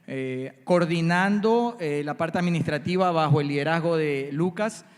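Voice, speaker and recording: A man's voice: fairly high pitch, normal speed. A man from Ecuador, introducing himself as a church panelist. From Guayaquil, Ecuador. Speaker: panelist, church ministry leader. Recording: reverberant hall sound.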